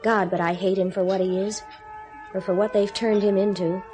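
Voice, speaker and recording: A woman's voice: mid-range voice, flat delivery, normal speed, dark tone, faint music behind, close to an everyday voice. A woman playing the weary, sarcastic wife of an astronaut in a 1970s radio drama. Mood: bitter. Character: bitter lonely wife of an astronaut.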